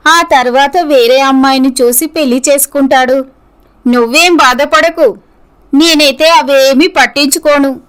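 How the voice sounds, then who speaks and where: A woman playing an earnest young office worker in a troubled romance, speaking deliberately in scripted story dialogue. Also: high voice, low-quality recording. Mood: dismissive.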